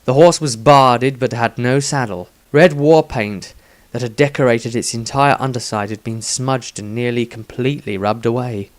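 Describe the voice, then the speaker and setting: A man's voice: medium pitch, normal speed. A man reading his own scripted fantasy story aloud as narrator, with a dramatic storytelling delivery.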